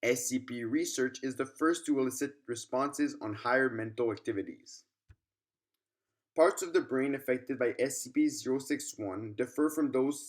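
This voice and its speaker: A man's voice: medium pitch, darkish tone. Man reading a formal clinical report. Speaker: narrator reading a document. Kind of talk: scripted narration of a clinical report.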